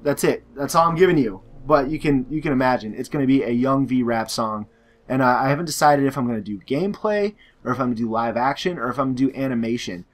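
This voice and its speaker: A young man with a hoarse, sore-throated voice talks through viewer questions in a relaxed, rambling way. He leans on "uh" and "like," restarts his sentences and drifts from point to point. His pitch and pace stay in an ordinary middle range. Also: fast talker. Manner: rambling, filler-heavy, self-correcting. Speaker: gaming YouTuber. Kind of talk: casual Q&A reading out viewer questions.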